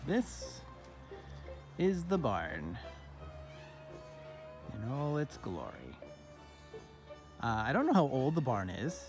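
A man in his thirties hosting a casual vlog tour, talking in a halting, playfully dramatic way into a handheld phone. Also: medium pitch, wildly swooping pitch, over music. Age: 30s. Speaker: vlog host. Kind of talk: casual first-person video tour. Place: at home indoors, then outdoors and inside an old barn. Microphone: handheld phone at arm's length.

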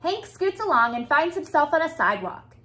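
A woman in her thirties reading a picture book aloud to young children with expressive, deliberate phrasing. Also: high-pitched. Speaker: children's storytime reader. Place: small indoor room with bookshelves.

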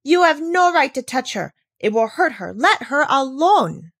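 A woman voicing an eager, warm-hearted little girl with bright, breathless exclaiming. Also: high-pitched, big pitch swings, unhurried, dry recording, studio quality, voice pushed up, exaggerated voice. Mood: indignant.

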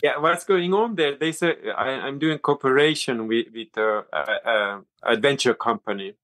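A man with a Finland-Swedish accent and Swedish as his first language, a yoga and cold-water teacher talking as an interview guest, halting and restarting often. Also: mid-range voice, fairly bright tone, dry recording. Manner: halting, many restarts and fillers. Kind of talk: casual interview talk.

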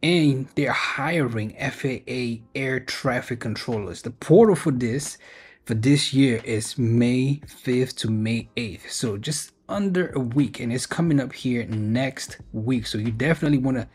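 A man's voice: mid-range voice, a little slow, breathy edge. A man hosting an informal explainer video, reading through a web page in a conversational way and stressing key points. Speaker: video host sharing job openings.

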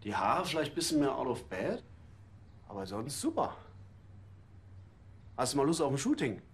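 A brash agency boss in a comic TV series, slick and pushy like a salesman. His voice is mid-pitched. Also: big pitch swings, moderate pace. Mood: smooth-talking. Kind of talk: TV crime comedy acting.

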